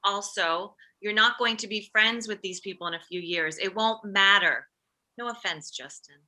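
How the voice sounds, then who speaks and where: Woman playing an anxious, piercingly bright overprotective mom. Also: mid-range voice, moderate pace, poor audio. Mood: defensive. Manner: fretful, blurting. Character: anxious, overprotective suburban mother of a teenage boy. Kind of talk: comedy script table read.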